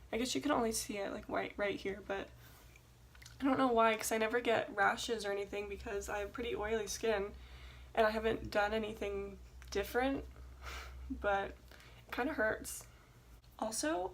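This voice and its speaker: A woman's voice: a little high, creaky, a little hiss or hum. A woman in her early twenties vlogging in a casual, chatty way, rambling informally with conversational asides. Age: early 20s.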